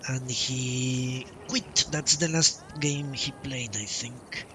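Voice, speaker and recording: A man streaming a video game, telling a long story casually in a halting, drawn-out way. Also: medium pitch, steady tone, noisy background. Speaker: game livestreamer. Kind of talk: casual rambling storytelling while playing a game. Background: video game music.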